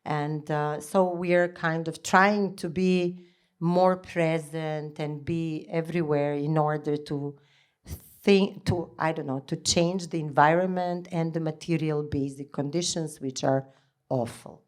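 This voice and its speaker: Low-voiced woman talking on a panel, stops and starts a lot, says uh a bunch. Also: steady tone. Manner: halting, with fillers. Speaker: panel speaker. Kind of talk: panel talk.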